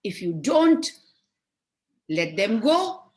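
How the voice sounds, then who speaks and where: A woman's voice: medium pitch, big pitch swings, very slow, darkish tone, voice pushed up. Woman putting on an angry, scolding storybook mother. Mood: angry, threatening. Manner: scolding, emphatic. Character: angry, threatening, selfish mother in a fairy tale.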